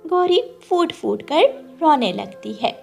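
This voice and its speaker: A woman narrator reading a scripted children's animated story. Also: high-pitched, takes it slowly, creaky, faint music behind.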